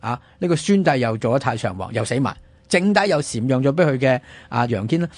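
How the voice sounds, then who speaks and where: Animated Hong Kong man, slightly husky. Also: mid-range voice, fairly quick, no room sound. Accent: Hong Kong Cantonese. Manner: animated, asks rhetorical questions. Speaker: radio history programme host. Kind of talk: conversational history storytelling. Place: radio studio.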